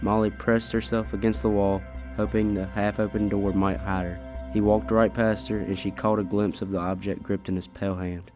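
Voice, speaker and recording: A man's voice: fairly low pitch, level tone, moderate pace, a little mellow, faint music behind, natural voice. A teenage boy reading scripted storybook narration in an amateur school radio play. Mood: measured. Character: measured storybook narrator of a gothic tale.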